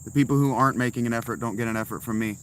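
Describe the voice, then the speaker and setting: Bright-voiced sarcastic man streaming from a tent. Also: medium pitch, very fast, a little hiss or hum. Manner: blunt, sarcastic replies to chat. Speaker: live streamer answering chat. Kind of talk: casual livestream chat. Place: inside a tent.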